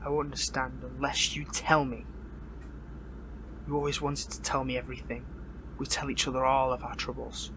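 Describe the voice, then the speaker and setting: A man's voice: mid-range voice, completely flat delivery, moderate pace, long pauses, natural voice. Defiant captured hero, acted by a man, noisy recording. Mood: pained, pleading. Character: defiant captured male superhero, wounded, bitter. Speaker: audio roleplay voice actor. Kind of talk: dramatic audio roleplay monologue.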